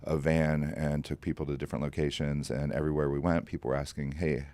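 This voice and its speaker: Very deep-voiced man, easygoing guest. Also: flat delivery, fast talker, darkish tone, dry recording. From Columbus, Ohio, US. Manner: easygoing, many fillers and agreeing murmurs. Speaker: ghost tour guide and ghost hunter. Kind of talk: casual interview answers.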